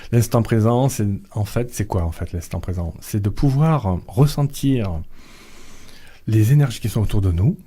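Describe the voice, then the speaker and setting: A middle-aged man guest on a radio interview, talking slowly and hesitantly with many fillers and restarts. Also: fairly low pitch, animated, dark tone, husky. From Vietnamese family background. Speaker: author and guest.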